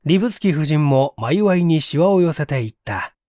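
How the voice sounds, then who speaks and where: A man's voice: fairly high pitch, lively intonation, normal speed, dark tone, no echo. A man reading a story as an audiobook narrator in a dramatic, scripted style.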